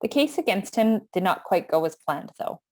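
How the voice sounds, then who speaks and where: A woman's voice: medium pitch, big pitch swings, mellow. A woman with a Canadian accent delivering a prepared history lecture, reading steadily from a script with the odd small slip. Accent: Canadian English. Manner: measured, reads from a script with small slips. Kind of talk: prepared lecture read aloud.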